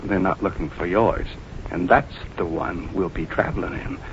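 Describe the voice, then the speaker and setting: Man acting a low-voiced, courteous, menacing killer. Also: normal speed, close to an everyday voice. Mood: calm menace. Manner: polite, genteel, calmly threatening. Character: soft-spoken, courteous, menacing fugitive male killer. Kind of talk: 1940s radio acting. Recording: muffled, noisy 1940s radio recording.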